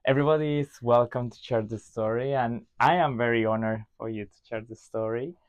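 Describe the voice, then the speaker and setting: Smooth-voiced male podcast host. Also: medium pitch, a little fast, dry recording, muffled recording. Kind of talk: podcast interview.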